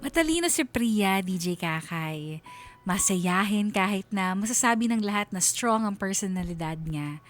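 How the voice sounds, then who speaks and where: A Filipino woman narrates a scripted radio story. Her voice sits at a medium pitch, and music plays softly behind her storytelling. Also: animated, a little slow. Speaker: radio drama narrator reading a listener's story. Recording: music bed under the voice. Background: background music.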